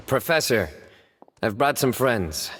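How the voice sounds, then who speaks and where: An actor playing a calm, serious young investigator in a video game, speaking in a measured, stoic way. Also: male voice, mid-range voice, long reverb tail, a little hiss or hum. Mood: composed. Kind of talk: video game character acting.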